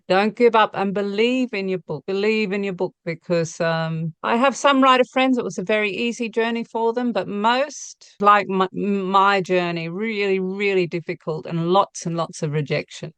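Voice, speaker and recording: A woman's voice: medium pitch, moderate pace, fairly bright tone, clean tone, no echo. A woman novelist speaking reflectively and conversationally as an interview guest.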